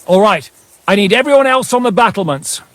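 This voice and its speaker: An actor playing a wry, battle-hardened mercenary captain in a game, speaking in a measured, world-weary way. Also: male voice, high voice, animated, fairly bright tone, no room sound. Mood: commanding. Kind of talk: dramatic game dialogue.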